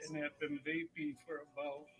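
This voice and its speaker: A man's voice: a little high, flat delivery, moderate pace, a bit bright, poor audio. A man in his seventies giving a short, plain testimony about quitting smoking for vaping. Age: 70s. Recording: clip with music underneath.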